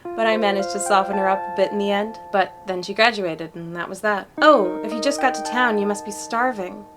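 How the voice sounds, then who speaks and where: A woman plays a friendly small-town young woman from a visual novel, outgoing and playful. She talks in a chatty way at a medium pitch, with clear music playing behind her. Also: fairly quick, natural voice. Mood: fond, reflective. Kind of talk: fan voice acting for a visual novel.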